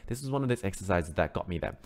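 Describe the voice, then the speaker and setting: Young male vocal coach, lowish voice, friendly explainer who keeps asking "right?". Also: animated, racing, vocal fry. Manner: encouraging, explanatory, says "right?" often. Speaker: singing teacher. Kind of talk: online vocal lesson.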